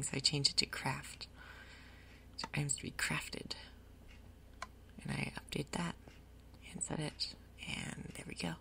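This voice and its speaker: Softly whispering woman, ASMR gaming. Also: sits low. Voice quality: soft, breathy, whispered. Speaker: gaming video host. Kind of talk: ASMR game commentary, soft-spoken whispering.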